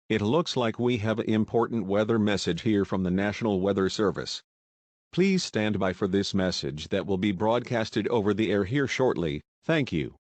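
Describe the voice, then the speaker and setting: A man playing a folksy, excitable television weather forecaster giving live radar commentary. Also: fairly low pitch, no echo. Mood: serious.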